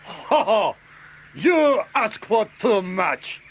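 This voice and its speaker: A man's voice: sits high, big pitch swings, very slow, slight background noise, heavily acted. An actor playing a proud, bitter older Okinawan businessman in an audio drama, threatening in broken English. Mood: threatening, gloating.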